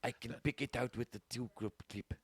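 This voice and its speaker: A man voicing a soft-spoken, creepy German psychiatrist who talks slowly and eerily calm. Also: mid-range voice, husky, no echo. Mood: eerie calm. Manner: slow, hushed, eerily calm.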